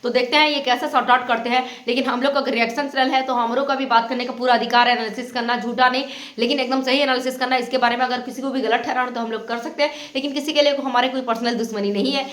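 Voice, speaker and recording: A woman's voice: fairly high pitch, steady tone, fast, few pauses, a bit bright, reverberant. A woman giving opinionated reaction commentary as a channel host, reproachful and insistent, often repeating her points.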